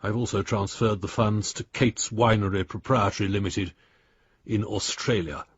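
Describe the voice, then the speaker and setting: A man's voice: lowish voice, steady tone, normal speed, breathy edge, no echo, lo-fi sound. An actor playing a crusty older lawyer in a radio drama, reading out his letter in a blunt, dry, precise manner. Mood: grudging, formal. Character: crusty, exasperated older lawyer, loyal old friend.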